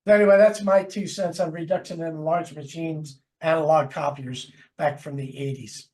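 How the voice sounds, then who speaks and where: Man, new york accent, rambling casual talk, fairly high voice, co-host. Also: steady tone, moderate pace, a little mellow. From New Jersey. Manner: rambling, hesitant with fillers. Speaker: podcast co-host. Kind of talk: casual chat.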